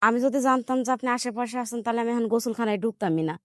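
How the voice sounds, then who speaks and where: Woman as high-voiced, defensive young daughter-in-law. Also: very fast, no echo, clean studio sound, lightly acted. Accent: rural Bangladeshi Bengali. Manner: argumentative, self-justifying. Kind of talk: domestic drama acting.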